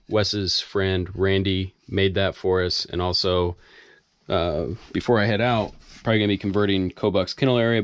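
A man's voice: low voice, slight background noise. A man in his thirties, chatting casually on camera inside his converted school bus about his projects. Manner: relaxed, chatty explaining. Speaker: vlogger, bus owner. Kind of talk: casual vlog narration.

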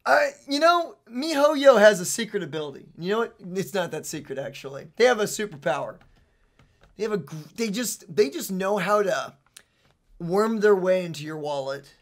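A man in his twenties hosting a casual game trailer reaction in an excitable, exclamatory way. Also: high-pitched, moderate pace. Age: 20s. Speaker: reaction show host. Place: small indoor streaming setup.

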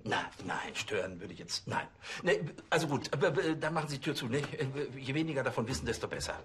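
A man's voice: mid-range voice, animated, fast, a bit bright, slight background noise, lightly acted. A man playing a fussy, self-important provincial police chief who speechifies pompously and hesitantly in a TV drama. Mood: flustered.

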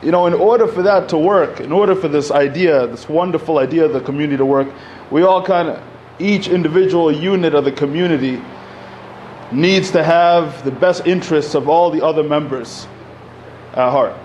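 A man in his thirties from Egypt speaks into a podium mic in a community center hall. His voice sits a little high, and he talks loosely and informally, stacking "you know" and restarts. A faint noise runs under the recording. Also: moderate pace, a little mellow. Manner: conversational, many fillers, gestures while speaking. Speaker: panel speaker. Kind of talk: public talk at a community event.

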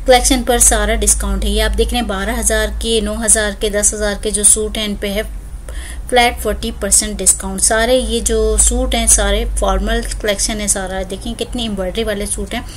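A woman's voice: mid-range voice, slight background noise, poor audio. A woman, chatting through a clothing store walkthrough as a shopping vlogger, filmed on a phone. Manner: chatty, lists prices and discounts.

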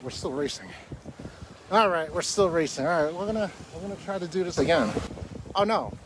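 A man giving a running, excited commentary on a sailboat race while sailing his own small boat and filming on a phone. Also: fairly high pitch, brisk, background noise. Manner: excited, breathless running commentary, talking to himself. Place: small sailboat out on open water, windy. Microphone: phone camera held on the boat.

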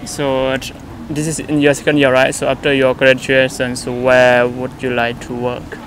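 A man's voice: medium pitch, completely flat delivery, normal speed, fairly bright tone, poor audio. Young lao guy doing street interviews, eager and chatty, lots of wows, traffic noise behind. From Laos. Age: young adult. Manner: chatty, eager, frequent "wow" and "mm-hmm" backchannels. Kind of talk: casual English interview questions. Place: outdoors on a city university street.

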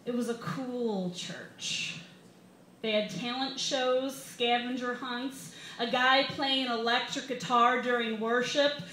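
A young American woman reading a comic personal essay to a live audience, with wry, deliberate emphasis. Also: a little high, fairly bright tone. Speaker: stand-up comedian reading an essay. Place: small brick-walled bar, indoors. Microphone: stand microphone, camera recording. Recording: roomy live bar recording, some background noise.

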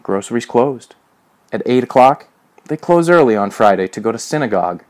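A man's voice: mid-range voice, animated, fairly quick, close to an everyday voice. A man voicing an earnest, nervous young man in a story, defensive and plain-spoken.

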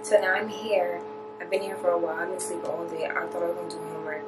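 A woman's voice: fairly low pitch, flat delivery, quick pace, recorded from a distance. A young woman vlogging casually to a handheld camera late at night in a small bathroom, chatty and rambling with slang. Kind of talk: casual vlog talk to camera. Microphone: handheld camera close to the face. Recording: echoey bathroom, faint hiss.

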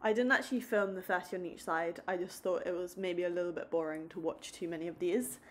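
A young woman vlogging about her day and workouts, chatting upbeat to a close phone camera, then calmly explaining each exercise in a voice-over. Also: fairly low pitch, fast. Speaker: fitness vlogger. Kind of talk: casual vlog chat and workout voice-over.

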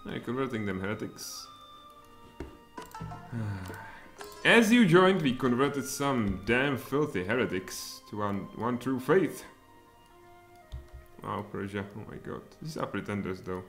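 A man in his thirties with a Czech accent, streaming a strategy game and thinking aloud, with sudden excited outbursts. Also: medium pitch, slow pace, long pauses. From Czech Republic. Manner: mutters thoughts aloud, then bursts out excitedly. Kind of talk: live strategy game commentary. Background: faint music and keyboard typing.

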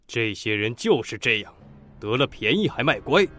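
An actor playing a curt, commanding, crooked company president with a sharp tongue in an audio drama. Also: male voice, medium pitch, very animated, normal speed. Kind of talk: Chinese audio drama acting.

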